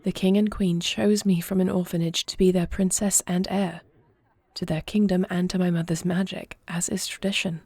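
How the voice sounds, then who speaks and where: A woman plays an earnest fantasy princess who leads in war. Her voice is low and mellow with a gravelly edge, and she speaks formally and solemnly, as in a scripted audio drama. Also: flat delivery, no echo. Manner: formal, solemn, deliberate phrasing. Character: young adopted princess and war leader, earnest, measured, regal. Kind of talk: scripted audio roleplay acting.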